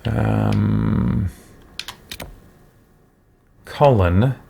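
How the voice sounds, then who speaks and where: A man doing casual let's play commentary as a streamer, musing and thinking out loud. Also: lowish voice, extremely slow, gravelly, slight background noise. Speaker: gaming streamer.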